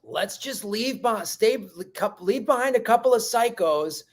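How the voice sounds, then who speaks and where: A man's voice: very high voice, animated, unhurried. A man hosting a comedy podcast, riffing with sarcastic, crude jokes in casual chat.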